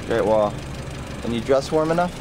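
A man's voice: medium pitch, expressive, normal speed. A man is filming a trip on a camcorder, firing questions at his companions. His talk is casual, blunt and teasing, in a mid-range voice, with heavy road noise all around him. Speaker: amateur cameraman interviewing fellow travelers. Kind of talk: casual travel vlog chat. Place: inside a moving minibus. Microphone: handheld camcorder. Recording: loud vehicle noise, camcorder sound.

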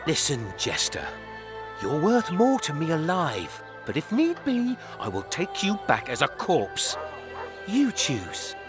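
A smug game villain as a bounty hunter, speaks in a high male voice that swings widely in pitch. He is mocking and theatrical, full of threat, with loud music playing behind him. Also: huge pitch swings, slow pace, heavily acted. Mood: threatening. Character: smug, menacing bounty hunter confronting his quarry. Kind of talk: video game character acting.

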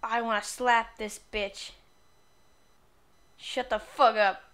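A woman with a fairly high, bright voice commentates casually over her video game playthrough. She is playful and crude, swearing freely as she goes. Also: fairly even intonation, takes it slowly. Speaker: gamer recording a playthrough.

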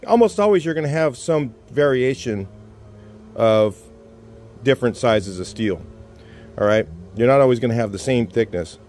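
A man's voice: mid-range voice, moderate pace, soft background music. A middle-aged man giving a casual hands-on welding lesson in an outdoor driveway workshop, talking plainly as he works, filmed first-person on the camera's own mic. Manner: relaxed, plainspoken, talks while working. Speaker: garage welding instructor.

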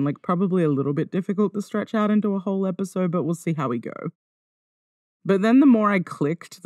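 A woman's voice: low-pitched, a little mellow, no room sound, clean studio sound. A woman hosting a podcast solo in a casual, conversational way, wry and self-deprecating. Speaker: podcast host. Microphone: close podcast microphone.

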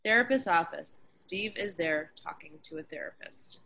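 A woman's voice: on the low side, expressive, normal speed, creaky, sharp tone, low-quality recording. A woman reading a sitcom script aloud at a table read over a video call.

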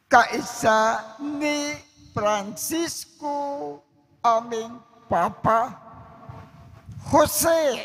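Very slow, solemn male priest. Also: extremely high pitch, creaky, a bit bright, breathy edge. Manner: solemn, measured, deliberate phrasing. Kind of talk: reciting the Mass liturgy. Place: large church.

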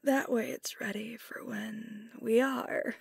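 Woman playing a sleepy werewolf girlfriend, husky whispers, gravelly low voice, close mic. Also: fairly even intonation, takes it slowly, no echo, heavily acted. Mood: sleepy, teasing. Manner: drowsy, half-whispered, hesitant with sighs and soft laughs. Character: sleepy young werewolf girlfriend, reluctant to leave bed. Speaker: ASMR roleplay performer. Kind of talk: ASMR roleplay.

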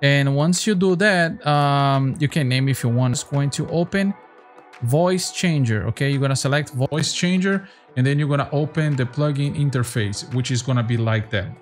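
A man's voice: medium pitch, normal speed. Man giving a casual tutorial over music. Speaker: tutorial host. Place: small home streaming room. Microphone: close desk microphone.

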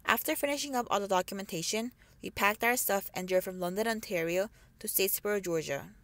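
A woman's voice: mid-range voice, a little fast, a bit bright, dry recording. A woman in her twenties, born in South Korea, reading a scripted narration of her family's immigration story. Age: 20s. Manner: reads a prepared script steadily.

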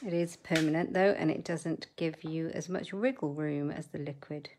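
A woman's voice: sits low, steady tone, moderate pace, darkish tone, a little hiss or hum. A middle-aged woman hosting a live crafting demo from her desk at home, chatting with viewers and muttering asides as she works. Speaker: papercraft host.

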